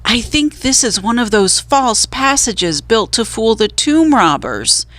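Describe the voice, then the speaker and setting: A woman's voice: on the high side, very animated, unhurried, a bit bright, dry recording. A woman voicing a curious, earnest young boy explorer in a children's storybook, speaking insistently.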